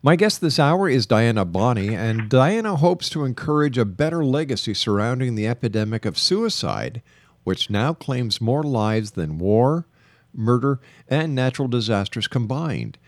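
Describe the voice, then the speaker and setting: A man's voice: mid-range voice, sing-song, normal speed, no room sound. A man hosting a radio interview in a polished, emphatic broadcaster's delivery. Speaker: radio talk show host.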